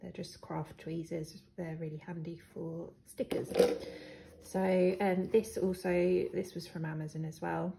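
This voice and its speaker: A young British woman showing her planner supplies in a casual, hesitant way, with a croaky voice and lots of ums. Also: fairly low pitch, little pitch movement, a little slow. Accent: British English. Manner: hesitant, many ums, soft laughs. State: croaky, clearing throat. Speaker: planner vlogger. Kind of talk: casual show-and-tell. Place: living room with hard floor. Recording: echoey room, faint noise.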